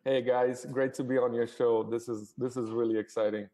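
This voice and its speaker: A man's voice: medium pitch, flat delivery, moderate pace, dark tone. A man in his forties, originally from Romania, talking casually as a startup founder guest on a live call, with many fillers and restarts.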